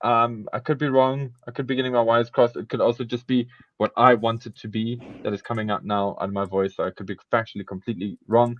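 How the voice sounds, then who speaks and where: A man's voice: medium pitch, steady tone, quick pace, few pauses, muffled recording. A man from Africa, hosting a casual podcast chat. He rambles, corrects himself often and laughs a lot. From South Africa. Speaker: podcast host.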